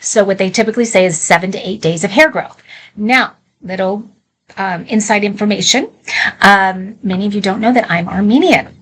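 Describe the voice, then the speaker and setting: A woman with a medium-pitched voice presents products as a live shopping host. She talks informally and candidly, like someone chatting through a demonstration, often slipping in conversational asides. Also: normal speed, slightly rough.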